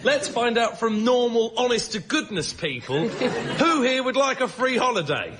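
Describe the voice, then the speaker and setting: A man's voice: very high voice, sing-song. A man, a stand-up comedian on a panel show, talking in an emphatic, laboured comic way. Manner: emphatic, deliberately laboured phrasing. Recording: live show with faint background noise.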